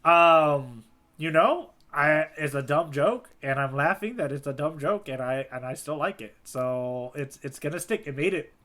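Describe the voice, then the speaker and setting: Bright-voiced jokey podcast co-host, a man. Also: fairly high pitch, lively intonation, normal speed. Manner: jokey, rambling, laughs at his own puns. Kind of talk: casual chat.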